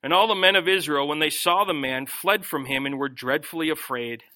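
A middle-aged man preaching a sermon, reading scripture and then commenting in an animated way, often acting out the voices he quotes. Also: on the high side, a little fast, rough recording. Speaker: pastor. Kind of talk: expository sermon.

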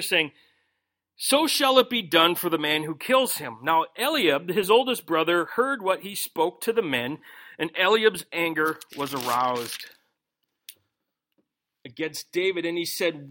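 A middle-aged man preaching a sermon, reading scripture and then commenting in an animated way, often acting out the voices he quotes. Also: fairly high pitch, a little slow. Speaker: pastor. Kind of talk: expository sermon.

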